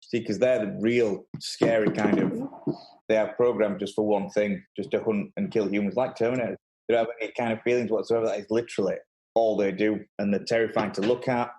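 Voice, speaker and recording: A man with a fairly low voice hosts a casual podcast chat. He talks very quickly and puts his opinions across emphatically. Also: steady tone, darkish tone, poor audio. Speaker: podcast host.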